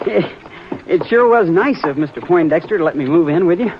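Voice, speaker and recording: A man doing a shy young city boy in a vintage radio western, polite and hesitant. His voice sits a little high and moves up and down a lot. The old recording is muffled, with faint hiss. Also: expressive, a little fast, close to an everyday voice. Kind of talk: 1950s radio drama acting.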